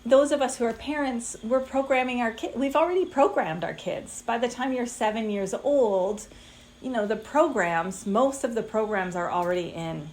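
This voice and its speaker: A woman in her fifties teaching a live online masterclass, talking conversationally and turning lively when she acts out what people say. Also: a little high, moderate pace. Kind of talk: live online class talk.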